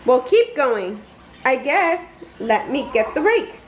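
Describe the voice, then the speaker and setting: A woman's voice: high-pitched, sing-song, takes it slowly, dull audio, full character voice. A woman voicing a friendly male cartoon mouse, a patient but easily exasperated dog owner, coaxing and mildly scolding in a storybook. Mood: gentle, coaxing. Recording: roomy echo, noticeable background noise.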